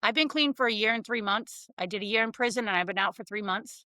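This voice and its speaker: Bright, blunt woman from Connecticut. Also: medium pitch, fast, no room sound. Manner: blunt, frank, casual with slang. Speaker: guest. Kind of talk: casual interview, telling her life story.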